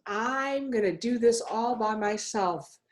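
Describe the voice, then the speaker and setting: Storybook young boy, played by a woman reader: polite, earnest, determined, unhurried delivery. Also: on the high side. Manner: earnest, polite, plain-spoken.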